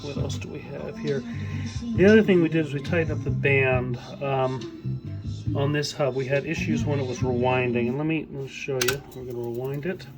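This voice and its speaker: A middle-aged man talks through a hands-on equipment demonstration in a medium-pitched voice. He is unscripted and a little hesitant, pausing while he works. Music can be heard along with his voice. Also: fairly even intonation. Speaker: hobbyist audio equipment repairer demonstrating a tape deck. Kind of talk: casual hands-on demonstration commentary.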